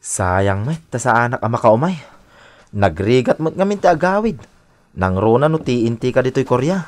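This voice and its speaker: A man's voice: medium pitch, big pitch swings, normal speed, close to an everyday voice. A man playing a teasing, skeptical best buddy with exaggerated intonation in an Ilocano radio drama. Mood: concerned.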